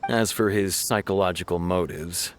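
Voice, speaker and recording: Man playing a low-voiced, officious law officer. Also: fairly even intonation, moderate pace, lightly acted. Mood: exasperated. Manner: formal, explanatory. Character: earnest, officious male law officer, mildly exasperated. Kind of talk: video game dialogue acting.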